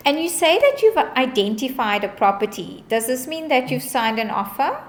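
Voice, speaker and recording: A woman's voice: high-pitched, sing-song, normal speed. A woman, a bond originator talking a client through a home loan in an upbeat, encouraging, reassuring way. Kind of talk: client consultation call.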